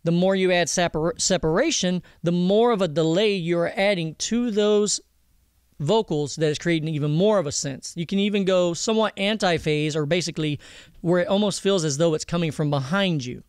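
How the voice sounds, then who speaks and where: A man explaining audio software step by step in an informal tutorial, often checking that the listener follows. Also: high-pitched, normal speed, clear, resonant tone, no echo, clean studio sound. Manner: explanatory, checks understanding with "okay?", "all right?". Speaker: audio mixing instructor.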